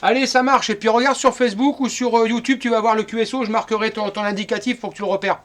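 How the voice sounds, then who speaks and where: A man's voice: sits high, little pitch movement, quick pace, fairly bright tone, a little hiss or hum. A middle-aged man from France chatting casually as an amateur radio operator.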